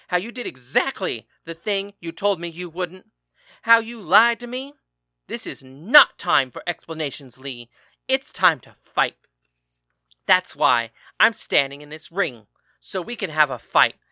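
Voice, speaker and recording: Man reading a furious father, loud and accusing, clipped and sharp. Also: on the high side, clear, resonant tone, dry recording, studio quality. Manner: shouting, accusing. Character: furious husband and father, quick-tempered.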